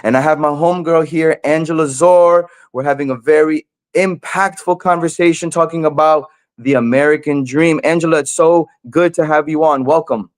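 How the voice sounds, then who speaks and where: A man's voice: on the high side, steady tone, moderate pace. A man hosting a talk show in an upbeat way, addressing viewers and a guest. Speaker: show host, community finance coach.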